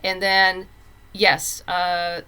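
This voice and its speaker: Bright, forceful low-pitched woman in casual interview. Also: monotone, very slow, clean tone. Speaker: writer being interviewed. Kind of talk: casual conversational storytelling.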